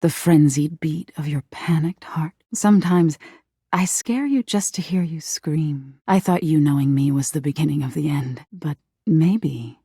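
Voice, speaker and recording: A woman playing a living nightmare spirit in a video game, flirty and menacing yet secretly insecure, with a breathy, teasing delivery that often drops into whispers. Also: deep voice, measured pace, dark tone, no room sound, clean studio sound, exaggerated voice. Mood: seductive menace. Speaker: voice actor in a dating simulator game. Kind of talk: intimate theatrical video game acting.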